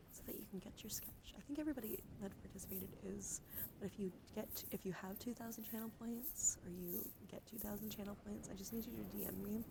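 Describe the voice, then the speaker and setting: A woman hosting a casual ASMR livestream, talking softly and often dropping into whispers, in a rambling, easygoing way. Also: mid-range voice. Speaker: livestream host. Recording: clearly audible background noise.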